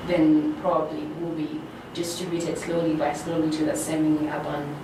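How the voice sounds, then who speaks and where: A woman's voice: low-pitched, flat delivery, moderate pace. A woman from Uganda interviewing a guest, searching for words and often repeating herself. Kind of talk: interview questions. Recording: echoey room, mic far off, noisy.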